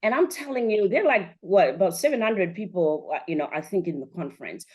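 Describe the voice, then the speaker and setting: A woman's voice: mid-range voice, expressive, brisk, husky. A woman from Zimbabwe with a Zimbabwean accent, hosting a podcast in a chatty, effusive way, often addressing listeners directly. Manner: effusive, addresses listeners directly, checks with "right" and "you know". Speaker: podcast host.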